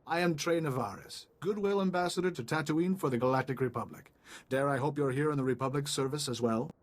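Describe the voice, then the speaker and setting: A man's voice: on the high side, brisk, natural voice. A man playing an eager, polite ambassador in a video game, chatty and apologetic in manner. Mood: courteous.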